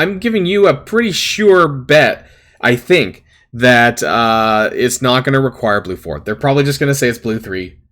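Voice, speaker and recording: A man hosting a gaming channel, rambling through casual commentary with lots of fillers and self-corrections, his voice slightly raspy. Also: medium pitch, fairly bright tone.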